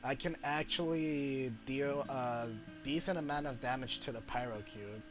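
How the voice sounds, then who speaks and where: Halting male gamer over game music. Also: mid-range voice. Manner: halting, thinking aloud. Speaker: gamer commentating on own gameplay. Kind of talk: casual gameplay commentary.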